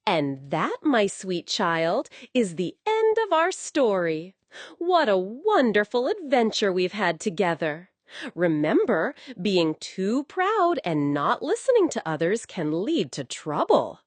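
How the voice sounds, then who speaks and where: A woman telling a scripted bedtime story, expressive and coddling, as if speaking to a young child. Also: medium pitch, slow, no room sound.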